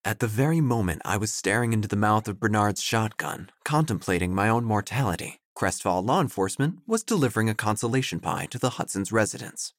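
An actor playing an earnest, wordy obituary writer who narrates an audio drama in a deliberate, deadpan way. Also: male voice, on the low side, no room sound, studio quality. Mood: deadpan, florid. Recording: faint music behind.

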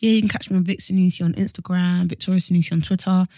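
A woman in her twenties co-hosting a podcast, telling stories in an animated, exasperated way. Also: fairly low pitch, very fast, dry recording, clean studio sound. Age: 20s. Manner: animated storyteller, exasperated asides. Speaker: podcast co-host. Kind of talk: casual chat.

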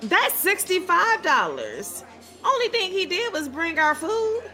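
A woman's voice: extremely high pitch, big pitch swings, measured pace, sharp tone, faint music behind, heavily acted. A woman playing a thrifty, blunt, folksy mother in an audio drama. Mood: grumbling. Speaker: actor.

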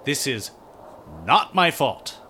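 A man's voice: mid-range voice, big pitch swings, takes it slowly, sharp tone, slight background noise, exaggerated voice. A man playing an offhand, evasive, scheming diner cook who excuses himself in a comedy audio drama. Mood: defensive.

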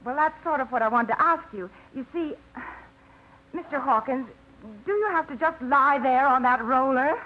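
A woman's voice: high voice, normal speed, lightly acted. A woman playing a shy, flustered young woman. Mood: timid. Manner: hesitant, flustered, stumbling. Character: shy, hesitant young woman named Maggie. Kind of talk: 1950s radio drama acting. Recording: muffled 1950s radio broadcast.

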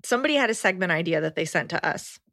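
A woman's voice: fairly low pitch, lively intonation, rapid-fire, fairly bright tone, no echo, studio quality. A woman co-hosting a casual podcast, reading listener letters aloud with frequent laughter. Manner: giggly, playful, laughs often. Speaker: podcast co-host.

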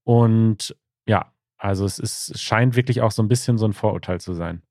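A man's voice: fairly low pitch, normal speed, warm tone, dry recording, clean studio sound. Casual male podcast co-host. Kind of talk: casual conversational podcast chat.

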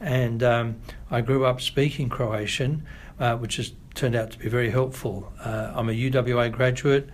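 A middle-aged Australian man, a family doctor, answering interview questions in a measured, thoughtful way with frequent pauses. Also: mid-range voice, steady tone, slight background noise. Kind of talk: podcast interview.

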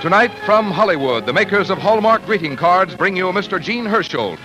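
A man's voice: high voice, animated, brisk, highs cut off. An American man working as a 1940s radio announcer, reading scripted introductions and sponsor messages. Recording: vintage radio broadcast, music behind.

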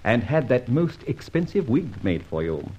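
An Australian actor playing a suave, playful gentleman thief in a 1950s radio drama, brisk and theatrical. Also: medium pitch, very animated, breathy edge. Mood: scheming, playful. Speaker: lead character. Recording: dull old radio recording, faint hiss.

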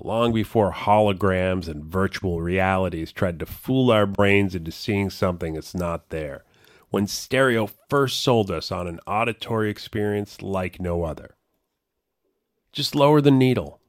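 A man's voice: lowish voice, dry recording, clean studio sound. A man reading a scripted, narrative feature story as a reporter and narrator.

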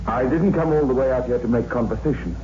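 A man's voice: medium pitch, quick pace, lightly acted. An actor playing a blunt, determined young man in a 1960s radio drama. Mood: accusing. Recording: noisy, muffled old radio recording.

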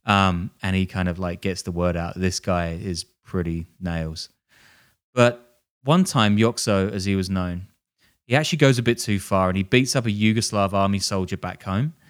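A man's voice: low voice, normal speed. A man hosting a podcast, telling a crime story in a jokey, self-deprecating way and laughing as he goes. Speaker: podcast host. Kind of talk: casual storytelling chat.

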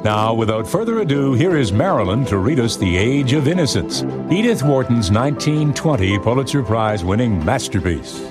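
A man's voice: mid-range voice, very animated, normal speed, few pauses. A man announcing a podcast, reading a scripted show introduction over music. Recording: loud music under the voice. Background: loud intro music.

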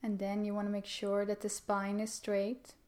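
Calm woman guiding meditation, even tone. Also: medium pitch. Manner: calm, gentle, unhurried with pauses. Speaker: meditation guide. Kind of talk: guided meditation instruction.

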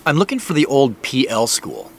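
An actor playing an awkward, apologetic man in an audio drama, speaking in a halting, naturalistic way. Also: medium pitch, sing-song, a little hiss or hum. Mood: curious. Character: awkward, apologetic man investigating a family mystery.

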